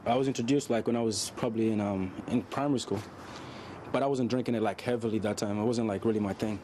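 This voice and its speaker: A man's voice: lowish voice, steady tone, fast talker, warm tone, slight background noise. A man, talking casually and reflectively in a candid interview outdoors. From South Sudan. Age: 20s.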